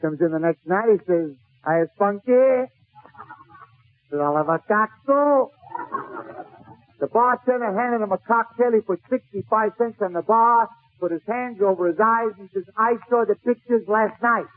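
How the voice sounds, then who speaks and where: A man's voice: high voice, a little slow. A man telling a comic story in 1940s radio style, acting out the dialogue as he goes. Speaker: comedian. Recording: tinny narrowband old radio broadcast.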